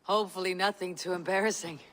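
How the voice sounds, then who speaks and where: A performer playing a calm, measured Viking clan leader in a video game cutscene. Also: mid-range voice, natural voice. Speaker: game protagonist.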